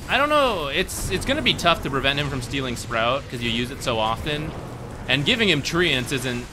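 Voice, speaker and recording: A man's voice: mid-range voice, big pitch swings, moderate pace, background noise. A young man from the United States streaming as he reviews game replays and reads chat, laid-back and thinking aloud. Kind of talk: casual commentary while reading chat.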